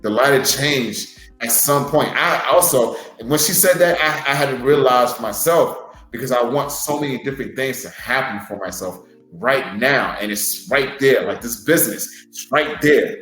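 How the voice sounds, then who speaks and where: A man's voice: mid-range voice, moderate pace, fairly bright tone, soft background music. Wrestler guy on a podcast giving a heartfelt pep talk, roomy echo on it. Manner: earnest, motivational, storytelling. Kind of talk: casual podcast chat.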